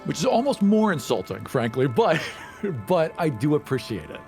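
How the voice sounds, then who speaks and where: A man playing a tabletop roleplaying game, speaking for his character in a measured, wry way. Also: on the high side, very animated, a little mellow, faint music behind. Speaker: player.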